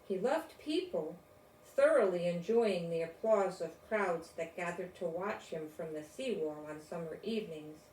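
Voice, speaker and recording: Slow-paced older woman reading a storybook. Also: on the low side, lively intonation, darkish tone. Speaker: storyteller reading a children's book aloud. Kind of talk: read-aloud storybook narration with informal asides. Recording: roomy, distant mic, faint noise.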